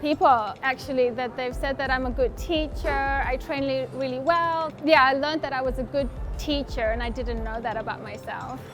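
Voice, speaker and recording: A woman's voice: high-pitched, steady tone, normal speed, few pauses, a bit bright. A woman who works as a workplace buddy, speaking in a chatty interview testimony. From migrant to Australia. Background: music.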